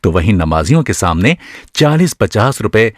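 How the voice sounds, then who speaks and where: A male storyteller narrates a radio drama in a low voice with very lively intonation. The delivery is literary and dramatic, and the sound is dry and close. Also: no echo.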